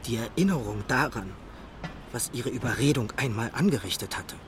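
A man's voice: mid-range voice, expressive, normal speed, natural voice. Romantic naval officer, period audio drama male actor. Mid-range voice, formal, earnest, faint noise behind. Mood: regretful, confessing. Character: proud, lovelorn naval captain of Regency England. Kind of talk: period radio drama acting.

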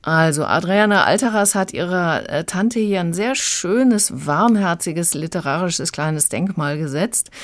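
Lowish-voiced woman reviewer, close dry sound. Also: dry recording. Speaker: audiobook and radio drama reviewer. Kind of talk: conversational radio review.

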